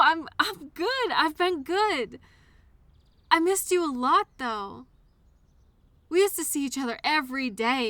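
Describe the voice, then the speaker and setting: An innocent imaginary friend played by a woman talks straight to the listener in an intimate audio drama. The voice is high and bright, recorded close and dry. The delivery is earnest and full of childlike wonder, with a wistful, hesitant edge. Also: no echo. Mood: excited, delighted, then wistful, tender. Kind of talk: intimate scripted audio roleplay monologue addressed to listener.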